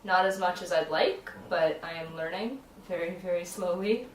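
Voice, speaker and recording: Young woman, vlog co-host, relaxed and laughing; roomy echo, mic set back. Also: lowish voice, normal speed. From Canada. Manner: easygoing, laughs between lines. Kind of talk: casual chat. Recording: echoey room, mic at a distance.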